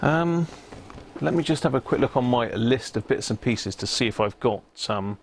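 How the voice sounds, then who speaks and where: A man's voice: medium pitch, animated, moderate pace, slight background noise. A man hosting a casual hobby livestream, chatting matily and muttering to himself as he works at an electronics bench. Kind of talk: casual live chat while working at a bench.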